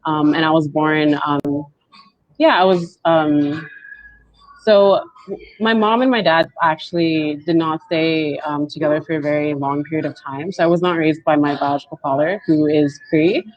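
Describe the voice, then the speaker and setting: A woman in her twenties, speaking softly and haltingly as she tells her story on a video call panel. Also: deep voice, steady tone, dry recording. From Canada. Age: 20s. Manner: soft-spoken, halting and reflective. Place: indoors at home, on a video call. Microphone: wired earbuds with mic. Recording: dull lo-fi video call audio.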